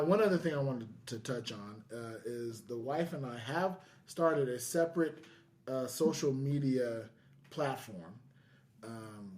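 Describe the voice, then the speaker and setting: A man hosts a casual podcast in a medium-pitched voice. His delivery is earnest and enthusiastic, like someone sharing plans with friends. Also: a little mellow. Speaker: podcast host.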